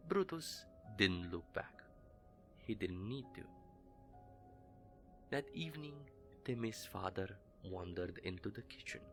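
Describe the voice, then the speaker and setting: Man, horror storyteller, low voice, very slow, measured, ominous, gravelly. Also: animated. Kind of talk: scripted horror narration. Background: faint music bed.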